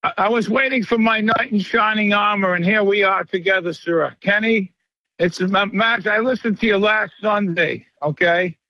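Rambling flattering older male caller. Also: high-pitched, normal speed, no room sound, lo-fi sound. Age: 70s. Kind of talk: call-in radio chat.

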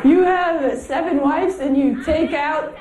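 A woman's voice: high voice, takes it slowly, background noise. A woman comedian teasing audience members in a laughing, playful crowd-work bit, whose first language is Italian. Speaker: stand-up comedian. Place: comedy club stage. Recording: live club crowd, distant roomy mic.

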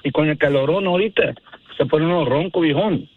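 Hoarse Mexican man on a muffled phone line. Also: a little high, a little fast, slight background noise. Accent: Jalisco Mexican. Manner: relaxed, folksy, friendly. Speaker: caller.

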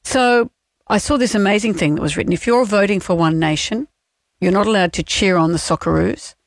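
Creaky-voiced Australian woman co-host. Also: medium pitch, a little fast, dry recording. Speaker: radio co-host. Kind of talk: casual radio chat.

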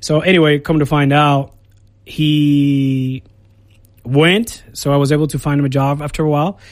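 A man's voice: on the high side, fairly even intonation, moderate pace, dry recording. A man from Puerto Rico in his thirties, an Air Force recruiter, talking casually in a radio studio interview with many fillers and restarts.

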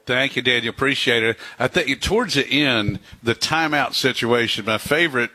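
A man's voice: medium pitch, normal speed, sharp tone. A man hosting a call-in sports radio show, folksy and upbeat, taking callers and chatting with co-hosts. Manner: folksy, upbeat, reads ad copy. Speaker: radio sports talk host.

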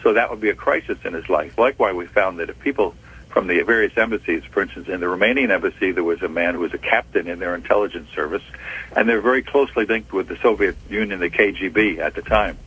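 An older man, a retired agent, telling his career story in an interview, measured and reminiscing, with frequent pauses. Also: low-pitched, a bit bright, breathy edge, low-quality recording.

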